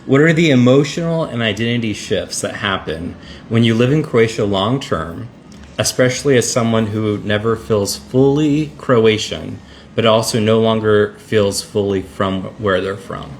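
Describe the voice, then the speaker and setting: A guest from Boston speaking earnestly and emotionally, often halting and restarting. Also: female voice, a bass voice, slight background noise. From Boston, Massachusetts. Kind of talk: casual chat.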